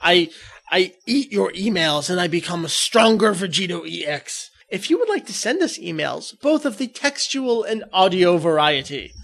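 A man's voice: high-pitched, sing-song, normal speed, creaky, a bit bright. A man hosting a podcast in a casual, chatty fan style. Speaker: podcast host.

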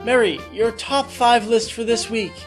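A man's voice: very high-pitched, unhurried, music in the background. A man hosting a casual fan podcast, chatting in a jokey way. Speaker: podcast host.

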